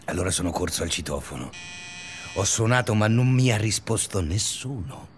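An actor playing an obsessive, bitter stalker confessing in a brooding, intimate radio drama monologue. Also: male voice, lowish voice, animated, normal speed, slight background noise, close to an everyday voice. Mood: agitated, pleading. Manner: brooding, intimate narration with agitated surges. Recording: music under the voice. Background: music.

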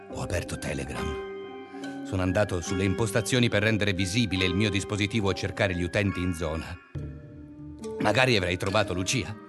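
An actor playing an obsessive, bitter stalker confessing in a brooding, intimate radio drama monologue. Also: male voice, medium pitch, lightly acted. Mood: agitated, pleading. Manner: brooding, intimate narration with agitated surges. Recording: music under the voice. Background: music.

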